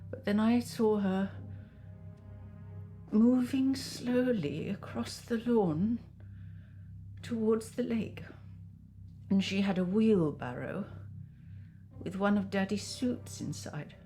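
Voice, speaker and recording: A woman's voice: medium pitch, lively intonation, takes it slowly, long pauses, mellow, soft background music. A woman playing a bitter, scornful middle-aged aunt with a British accent, acerbic and dramatic, in an interactive mystery film. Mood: haunted, reflective. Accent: British English. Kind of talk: naturalistic screen acting in an interactive mystery film.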